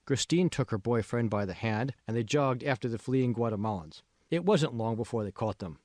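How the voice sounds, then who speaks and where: A man's voice: medium pitch, animated, normal speed, darkish tone, no echo, clean studio sound. A man reading scripted fiction as the narrator of an audiobook. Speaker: author reading own audiobook.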